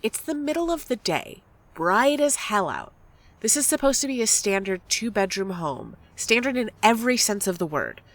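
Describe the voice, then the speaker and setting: A woman playing an anxious, suspicious, sharp-tongued young woman in an audio drama, blunt and pressing. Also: mid-range voice, big pitch swings, normal speed. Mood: uneasy, insistent.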